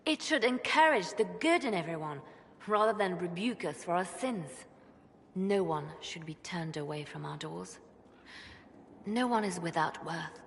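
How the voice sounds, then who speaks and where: A devout reformist churchwoman from a fantasy game , acted by a woman. She speaks with gentle, earnest conviction, like a measured orator with impassioned ideals. Her voice rings with long hall reverberation. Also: medium pitch, animated, close to an everyday voice. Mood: impassioned, tender. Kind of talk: dramatic video game dialogue.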